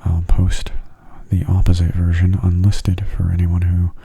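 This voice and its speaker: A man giving calm, unhurried, soft-spoken ASMR commentary on gameplay, musing aloud in a breathy voice that often nears a whisper. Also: low voice. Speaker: gaming video host.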